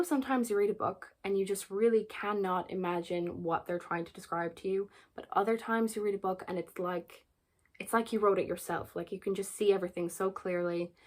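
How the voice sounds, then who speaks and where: A young Irish woman in her twenties talks casually to camera about books she is reading. She is earnest and chatty, leans on repeated words for emphasis, and laughs easily between thoughts. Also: mid-range voice. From Ireland. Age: 20s. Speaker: book vlogger. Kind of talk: casual book chat to camera.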